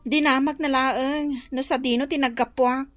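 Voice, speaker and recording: An actress playing a weary, reproachful young wife in a radio drama, plaintive and nagging. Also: female voice, high voice, fairly even intonation, moderate pace, fairly bright tone, dry recording, soft background music. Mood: hurt, defiant.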